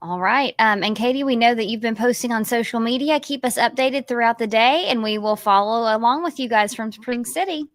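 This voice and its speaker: A woman's voice: a little high, brisk, hardly any pauses, dry recording, studio quality. A woman presenting a live local TV news segment as the anchor.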